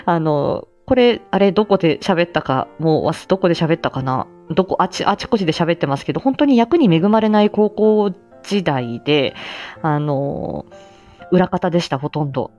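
Woman just chatting on a stream, really up and down pitch, humble and kinda hesitant, dull lo-fi sound. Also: expressive, normal speed, dark tone, soft background music. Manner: self-effacing, hesitant, giggly, trailing phrases. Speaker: amateur voice drama actor and livestream host. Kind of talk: casual reflective livestream chat.

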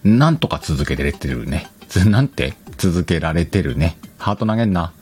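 Deep-voiced man, lively podcast host. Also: moderate pace. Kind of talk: casual solo chat. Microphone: external microphone, recorded on a computer.

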